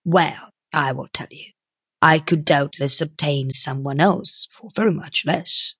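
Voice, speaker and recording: Woman voicing a sleek, unctuous businessman, low and husky. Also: a bit bright, no echo, studio quality, exaggerated voice. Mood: measured, businesslike. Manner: unctuous, measured, formal. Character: sleek, shifty, smooth-talking prosperous businessman. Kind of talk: audiobook character voice.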